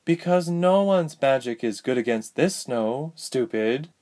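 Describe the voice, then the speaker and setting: A man's voice: sits high, measured pace, darkish tone, pitched above the performer's own voice. A man voicing an impatient, scornful older sister in a storybook narration.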